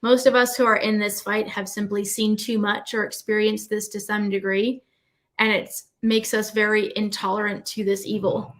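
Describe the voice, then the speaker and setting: A woman's voice: mid-range voice, level tone, normal speed, fairly bright tone. A woman hosting a live online talk, speaking fluently in an earnest and emphatic way.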